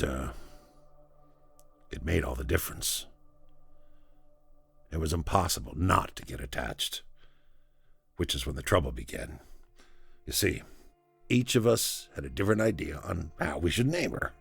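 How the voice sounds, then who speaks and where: Grizzled ex laborer telling old stories, super croaky low voice, dry and sweary, audio drama. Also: male voice, fairly bright tone, full character voice. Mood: wistful, confiding. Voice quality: gravelly. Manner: conversational, hesitant pauses, dry chuckles, swearing. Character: weathered former cube labourer, male, wry, foul-mouthed, reminiscing. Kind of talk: in-character monologue in an audio drama.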